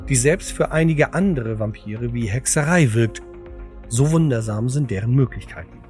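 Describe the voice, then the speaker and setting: A man's voice: mid-range voice, a little mellow. A man narrating a scripted audio drama in a dramatic storytelling style. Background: music.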